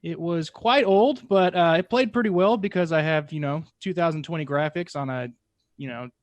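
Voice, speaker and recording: Young male co-host, fairly high voice, casual storytelling, dry close mic. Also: normal speed, dry recording. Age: young adult. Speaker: podcast co-host. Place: small home room. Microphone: close desk microphone with headphones.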